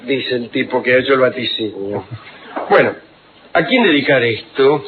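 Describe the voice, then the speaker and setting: A man hosting radio, telling ironic, digressive stories with quick asides. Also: mid-range voice, animated. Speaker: radio host. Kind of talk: humorous radio talk. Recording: lo-fi phone-like archive radio with noise.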